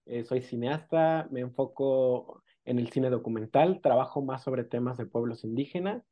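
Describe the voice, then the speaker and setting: A man from the Oaxaca coast, an Amuzgo native speaker, telling his story as an interview guest in a halting way, full of fillers and tag questions. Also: mid-range voice. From Mexico, Oaxaca coast. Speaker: guest, documentary filmmaker. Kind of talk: casual interview, personal storytelling. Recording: dull lo-fi sound.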